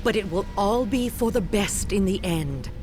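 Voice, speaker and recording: A woman's voice: medium pitch, lively intonation, normal speed, music in the background, natural voice. An actress playing a scheming witch mother in a video game cutscene, solemn and persuasive.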